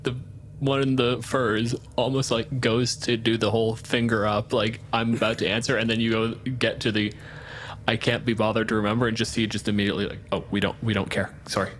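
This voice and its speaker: Flat-toned man narrating casually, some noise. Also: lowish voice, fairly quick.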